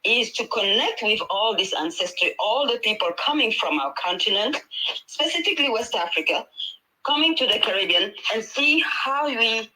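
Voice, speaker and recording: A woman's voice: a little high, expressive, moderate pace, sharp tone, low-quality recording. A woman from Senegal with a Senegalese accent, a native Wolof speaker, speaking earnestly on a panel, rambling with fillers and restarts over a video call. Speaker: panel speaker, midwife and healer. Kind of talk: conversational talk on a panel.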